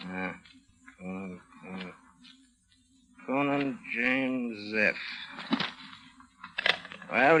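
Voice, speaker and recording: An American actor playing a shrewd, suspicious homicide lieutenant in a vintage radio crime drama, clipped and sardonic. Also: male voice, mid-range voice, close to an everyday voice. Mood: brisk, businesslike. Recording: noisy vintage radio recording with clicks.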